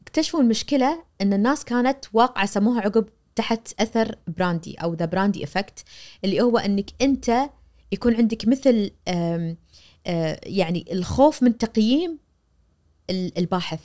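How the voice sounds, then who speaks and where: A woman in her forties with a Kuwaiti accent, a media professor explaining things animatedly as a guest on a studio podcast, recorded on a boom mic. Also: a little fast. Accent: Kuwaiti Arabic. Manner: animated, gesturing, acts out quoted voices. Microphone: boom-arm studio mic.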